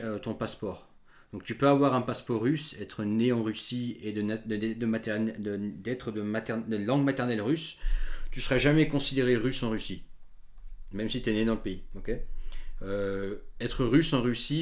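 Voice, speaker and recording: Man, thirties, fairly low voice, non-native French speaker, casual to-camera talk, halting with fillers and restarts. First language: not French. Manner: hesitant, many fillers and restarts. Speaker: dating coach. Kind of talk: casual talking-head monologue.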